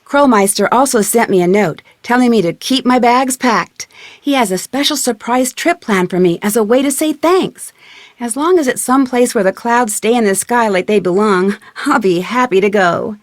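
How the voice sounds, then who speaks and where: An actress playing a composed, plucky young detective with an American accent, narrating in a scripted video game style. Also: female voice, on the high side, moderate pace, no echo, studio quality, natural voice. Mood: light, wry. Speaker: detective heroine. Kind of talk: scripted game narration, letter read aloud.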